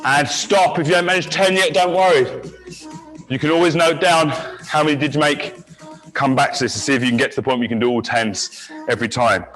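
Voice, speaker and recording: A man working as a fitness instructor, leading a live high-intensity class with encouraging talk, countdowns and short barked cues. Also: brisk, fairly bright tone, rough recording. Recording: music playing behind the voice.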